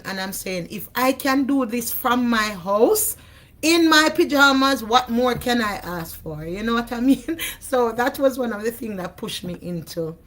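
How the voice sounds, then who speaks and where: Hoarse Jamaican woman, chatty. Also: medium pitch, a bit bright. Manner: chatty, repeats "you know what I mean". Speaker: interview guest. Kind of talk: casual interview chat.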